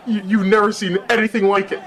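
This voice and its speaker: An actor playing a giddy old uncle, very high voice. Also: male voice, steady tone, a little fast, fairly bright tone, a faint crowd. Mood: elated. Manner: breathless, laughing exclamations.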